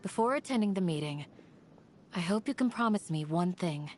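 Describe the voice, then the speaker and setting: Low, composed woman guardian played by an actress. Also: lively intonation, darkish tone, a little hiss or hum, natural voice. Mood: calm, earnest. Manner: polite, measured, earnest. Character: composed adult woman, a courteous, cautious guardian. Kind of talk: English game voice acting. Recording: game audio with music behind.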